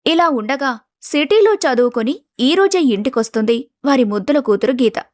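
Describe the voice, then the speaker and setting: High-pitched woman storyteller. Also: lively intonation, moderate pace, dry recording. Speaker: story narrator. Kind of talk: scripted storybook narration.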